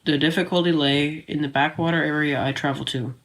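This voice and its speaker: A man's voice: fairly high pitch, steady tone, quick pace, a bit bright. A man playing an easygoing, adventurous teenage boy, narrating his own story in a matter-of-fact way for an audio drama. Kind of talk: scripted first-person story narration.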